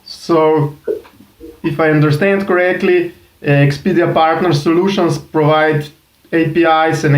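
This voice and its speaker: Higher-voiced male host, echoey room. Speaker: interview host. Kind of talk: conversational interview questions.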